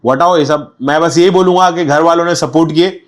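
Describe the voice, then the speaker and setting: A man's voice: a little high, fairly even intonation, fairly quick. A young man, a guest on a podcast, speaking candidly and reflectively in casual street slang. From India. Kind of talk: casual interview chat.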